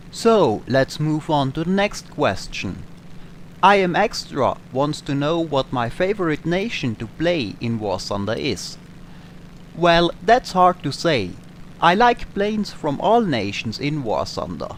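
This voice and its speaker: A young man from Tyrol with an Austrian accent, calmly answering viewer questions as a gaming channel host. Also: a little high, slight background noise. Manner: calm, explanatory. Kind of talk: Q&A over flight game footage.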